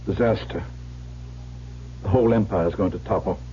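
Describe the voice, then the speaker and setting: Middle-aged family lawyer played by a man, dark mellow tone, blunt yet fatherly, dull radio drama recording with faint hum. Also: on the low side, normal speed, lightly acted. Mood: grim, blunt.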